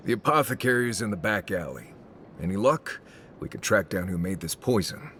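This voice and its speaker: A seasoned tracker from a fantasy video game, plain-spoken and investigative. His voice sits fairly low and moves with lively inflection. A faint rumbling noise lies behind it. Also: fairly quick. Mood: musing, matter-of-fact.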